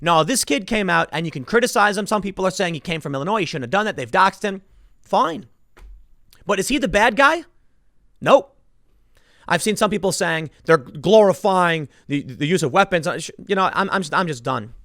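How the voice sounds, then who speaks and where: A man's voice: high-pitched, animated, normal speed, a bit bright, no echo, studio quality. A man giving impassioned solo political commentary as a host, emphatic and indignant, repeating phrases for stress.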